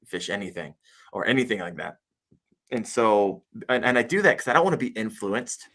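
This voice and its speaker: A man's voice: on the low side, sing-song, brisk, fairly bright tone. A man guest on a live podcast, talking earnestly and emphatically in a coaching way about making videos. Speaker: podcast guest, kayak fishing YouTuber. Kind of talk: casual live podcast interview.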